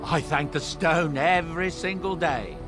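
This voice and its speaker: An actor voicing a genial middle-aged dwarf merchant in a video game, telling tales in a folksy, theatrical way with musing asides. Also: male voice, a little high, a little slow, lightly acted. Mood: animated, self-justifying. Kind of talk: video game character dialogue. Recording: music behind the voice.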